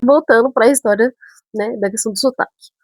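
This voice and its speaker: A woman in her twenties from São Paulo, chatting casually to camera as a vlogger. She tells a story in an animated way, full of asides and self-corrections, with touches of a northern Brazilian accent. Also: a little high, a little fast, faint music behind. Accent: northern Brazilian touches mixed with São Paulo speech. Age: 20s.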